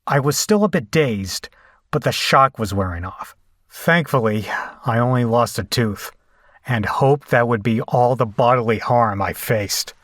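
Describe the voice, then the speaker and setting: A man acting a slow-spoken, haunted horror captive. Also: mid-range voice, a bit bright, no echo, studio quality. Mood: grim, haunted recounting. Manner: deliberate, dramatic storytelling with hesitant, pained dialogue. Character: frightened, battered man held captive, recounting his ordeal. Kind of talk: first-person horror audio drama narration and acted dialogue.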